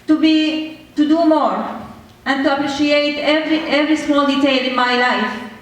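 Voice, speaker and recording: A woman from Syria giving an earnest prepared speech about being a refugee, speaking slowly and with measured care. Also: high voice, fairly even intonation. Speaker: speaker sharing refugee story. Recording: echoey hall from far off.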